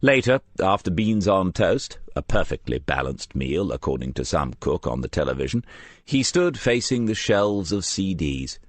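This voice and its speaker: A man's voice: low voice, big pitch swings, moderate pace, no echo. A man reading a crime novel as an audiobook narrator.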